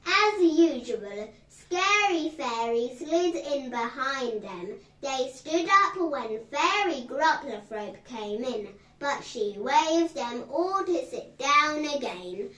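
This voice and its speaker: High-voiced woman reading slowly, echoey room. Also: expressive, a bit bright. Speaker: storybook reader. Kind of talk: children's story read aloud. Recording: roomy echo, distant from the mic.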